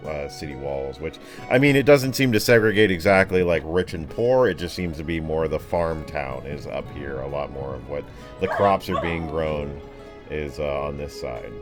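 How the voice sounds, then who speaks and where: Low-voiced man game mastering over background music. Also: animated, moderate pace. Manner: descriptive, casual slangy asides. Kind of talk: narrating a tabletop fantasy game, casual improvised.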